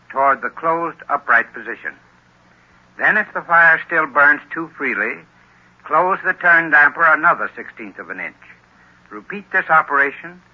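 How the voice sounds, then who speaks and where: A man's voice: on the high side, husky. A man with an American accent giving formal, instructive, scripted advice in a 1930s radio advertisement style. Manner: formal, instructive, carefully paced phrasing. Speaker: heating expert in a radio advertisement. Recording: muffled old radio broadcast.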